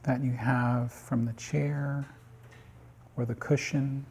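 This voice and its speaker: A man guiding a meditation in calm, unhurried short phrases with long pauses, his voice slightly husky. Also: medium pitch. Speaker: meditation guide. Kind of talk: guided body scan meditation.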